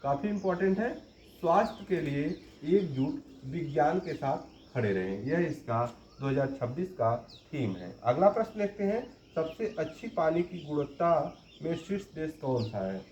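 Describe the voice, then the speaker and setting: Male teacher, a bit high-pitched, drills quiz answers by repeating them; roomy, muffled recording. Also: animated, moderate pace, a little mellow, a little hiss or hum. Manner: repeats each question and answer for emphasis. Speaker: current affairs teacher. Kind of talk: exam-prep quiz lesson.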